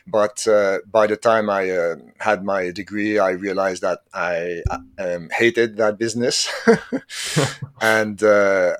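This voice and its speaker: Man in his fifties, fairly low voice, dry close sound, halting, hunts for words. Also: dry recording. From Quebec, Canada. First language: French. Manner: halting, searches for words, chuckles often. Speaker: podcast guest. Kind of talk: casual interview chat.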